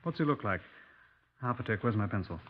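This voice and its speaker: An actor playing a brisk, dry-humoured British police inspector in a 1950s radio drama, clipped and courteous in manner. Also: male voice, on the low side, animated. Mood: intent, curious. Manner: clipped, courteous, dryly probing. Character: brisk, dry-humoured Scotland Yard police inspector narrating his case. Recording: dull 1950s radio recording.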